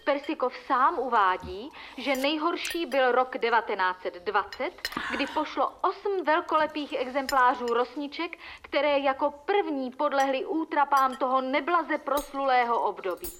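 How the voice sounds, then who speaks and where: An earnest female presenter in a radio drama. Her high voice gives a formal, measured report, with a faint clatter of dishes behind it. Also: animated, a bit bright, a little hiss or hum. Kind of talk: scripted narration within radio drama.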